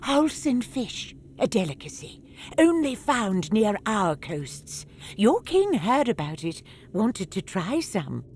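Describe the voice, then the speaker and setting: A woman playing a feisty, sarcastic rodent-folk trader in a brisk audio drama performance. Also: medium pitch, big pitch swings, a little mellow, slight background noise. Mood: wry.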